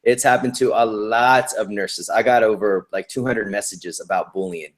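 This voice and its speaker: A man's voice: fairly low pitch, normal speed. Man, male nurse, interview guest, upbeat, slangy, encouraging, casual chat.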